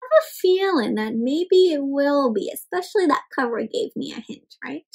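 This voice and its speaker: A woman storyteller reading a picture book aloud to young children, animated and expressive, putting questions to them and explaining words. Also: sits high, normal speed, creaky. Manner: dramatic gasps and exclamations.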